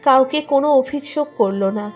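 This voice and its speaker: High-pitched woman narrating a story. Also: normal speed. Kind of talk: scripted romantic story narration. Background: music under the narration.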